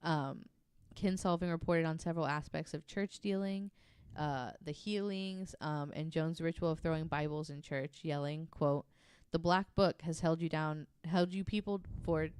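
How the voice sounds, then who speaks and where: Low, smooth-voiced woman podcaster, fairly even. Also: normal speed, few pauses. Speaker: podcast host presenting a researched story. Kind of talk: casual narration from notes with chat.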